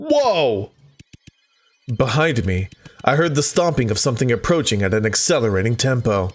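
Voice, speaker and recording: A man doing a let's play, dramatically reading visual novel text aloud and breaking off for joking asides. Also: medium pitch. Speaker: gaming video host.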